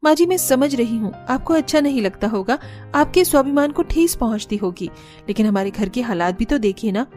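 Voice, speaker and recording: A sensible young daughter-in-law in a cartoon family tale, voiced by a woman. Her voice is high and she reasons firmly yet caringly over faint music. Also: lively intonation, a little fast, darkish tone, natural voice. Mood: earnest, persuading. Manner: earnest, reasoning. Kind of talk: Hindi cartoon story dialogue.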